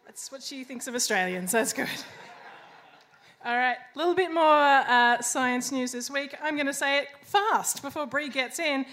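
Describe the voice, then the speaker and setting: A woman hosting a live science comedy debate on a bar stage, quick and jokey, giggling between lines into a stage microphone. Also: high voice, fairly bright tone.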